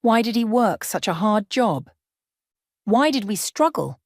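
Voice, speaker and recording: A woman's voice: medium pitch, big pitch swings, unhurried, a little mellow, no echo, voice pushed up. Shaken thirties divorcée voiced by a woman narrator, emotional and questioning, dry audio-story sound. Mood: bewildered.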